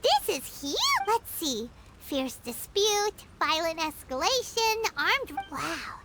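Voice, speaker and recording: A woman voicing a tiny, childlike, excitable fairy companion for a video game, squeaky, blunt and full of exclamations. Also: slow pace, background noise, full character voice. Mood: amazed. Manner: exclamatory, refers to herself in third person. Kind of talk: English video game voice acting. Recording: game music playing behind voice.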